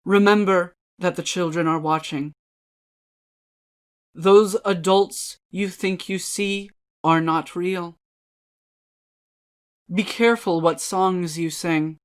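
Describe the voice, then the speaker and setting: A woman giving a scripted spooky dramatic reading, ominous and deliberate, with measured dramatic pauses. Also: lowish voice, steady tone. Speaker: dramatic reader.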